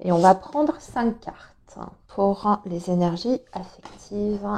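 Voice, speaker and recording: A woman's voice: mid-range voice, slow, a little mellow. Woman with a cold reading tarot. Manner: hesitant, many filler sounds, explanatory. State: has a cold, throat a bit hoarse. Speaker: tarot reader. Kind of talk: monthly astrology tarot reading.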